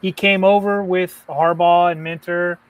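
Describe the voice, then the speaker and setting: A man with a somewhat high voice co-hosts a casual live sports stream. He talks in a loose, rambling way, filling his speech with "like" and "you know". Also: little pitch movement, unhurried, clean tone. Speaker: podcast co-host.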